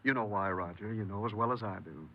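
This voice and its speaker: Actor as a low-voiced, fatherly American parole officer. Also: quick pace, close to an everyday voice. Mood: patient, mild. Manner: soothing, patient. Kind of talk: 1950s American radio drama acting. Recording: noisy, dull old radio recording.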